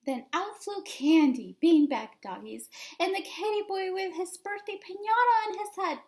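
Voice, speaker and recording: A woman reading a children's story aloud with expressive, dramatic and emphatic narration. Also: very high-pitched, moderate pace. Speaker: storybook reader.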